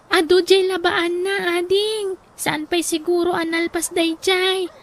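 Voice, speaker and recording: An actress with an Ilocano accent playing a caring, dutiful young elder sister in a radio drama. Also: female voice, extremely high pitch, little pitch movement, measured pace. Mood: gentle, consoling.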